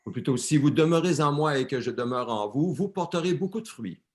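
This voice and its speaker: A man's voice: on the high side, expressive, brisk, a little mellow. A man interpreting a sermon live for a church, often repeating and correcting words as he translates.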